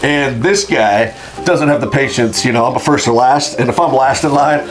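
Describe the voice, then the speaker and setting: A man's voice: medium pitch, moderate pace, over music, poor audio. A man co-hosting a casual chat, teasing his partner and rambling through jokes. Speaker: podcast co-host, title company rep.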